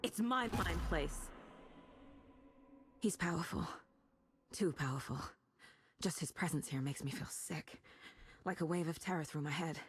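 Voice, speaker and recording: An actress playing a troubled, determined woman detective in a video game, thinking aloud in an intense, deliberate way. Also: low-pitched, husky, heavily acted. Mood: tense, distressed. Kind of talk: dramatic video game voice acting.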